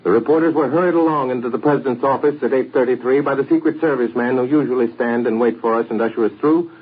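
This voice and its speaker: An American man anchoring a 1940s radio news broadcast in a measured, formal way, on an old radio recording. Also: mid-range voice, husky, phone-quality sound.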